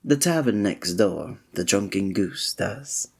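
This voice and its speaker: A man's voice: on the low side, expressive, slow pace, creaky, husky, exaggerated voice. A man playing a flirtatious, courteous innkeeper in a fantasy village, speaking formally in a scripted ASMR roleplay addressed to the listener. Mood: hospitable, wry. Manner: formal, old-fashioned, teasing, suave diction.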